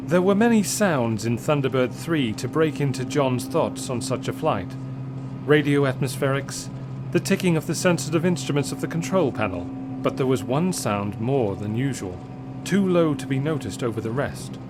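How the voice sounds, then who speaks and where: A man reading an adventure story as dramatic, scripted narration, measured and suspenseful. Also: medium pitch, noisy background. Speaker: story reader.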